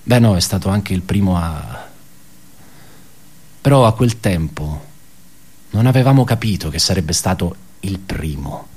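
Low-voiced man, wry bitter office worker. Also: animated, darkish tone, slightly rough, heavily acted. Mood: ironic, reminiscing. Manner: halting, self-correcting, conversational, ironic. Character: wry, bitter male office worker recounting colleagues' suicides. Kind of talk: theatrical radio monologue, reflective storytelling.

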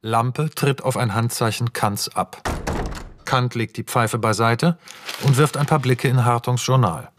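A man's voice: medium pitch, unhurried, background noise. A man narrating scene directions for a radio play in a scripted, matter-of-fact manner. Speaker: narrator reading screenplay directions.

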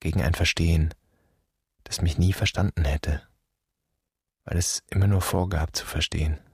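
A wounded son in a radio drama, confronting an absent father. His voice is extremely deep and very breathy-rough, recorded dry and close. The phrases are broken, terse and poetic. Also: fairly even intonation, moderate pace, darkish tone, no echo, exaggerated voice. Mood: bitter, wounded. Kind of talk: stylized German radio drama dialogue.

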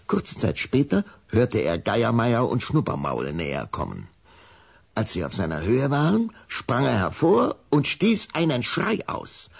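A man's voice: sits low, vocal fry, breathy edge. A man narrating a children's radio play as a scripted storyteller.

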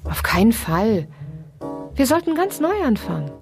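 A woman's voice: fairly high pitch, very animated, a little slow, faint music behind. An actress playing a bold, teasing young woman in a radio drama, blunt, bossy and affectionate. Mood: teasing, insistent. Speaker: character in a radio play.

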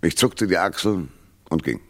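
Low, sardonic hardboiled private detective. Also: male voice, animated, normal speed. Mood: wry narration. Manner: sardonic, dry first-person narration and sharp banter. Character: wry, tough, womanizing hardboiled male private detective. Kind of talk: German radio noir acting with narration.

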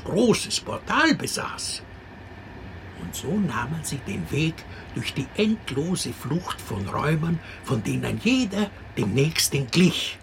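Wry radio-drama storyteller, unhurried and very expressive, clear background noise. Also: male voice, mid-range voice, heavily acted. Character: wry, unhurried male teller of an absurd fairy tale. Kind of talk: fairy-tale narration in a radio drama.

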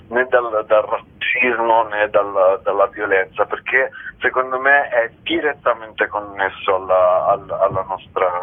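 A man's low voice comes through a narrow, phone-like radio line. He talks as an interview guest in a reflective way, feeling for his words and repeating short phrases as he goes. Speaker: guest theatre actor and author.

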